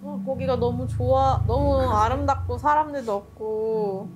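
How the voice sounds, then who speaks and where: A woman with a fairly high voice talks casually in a group discussion, as a member of a creative team. There is faint noise behind her. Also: unhurried, a little mellow. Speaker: member of an art production team.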